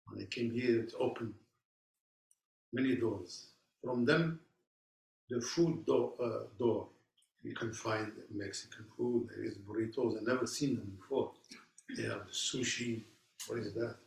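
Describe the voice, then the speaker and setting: A man's voice: fairly low pitch, unhurried, gravelly, pauses often, darkish tone. A man from Morocco, speaking as guest teacher to a class in simple, storytelling phrases. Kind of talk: informal talk to a class. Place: meditation hall. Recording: echoey hall, mic far off.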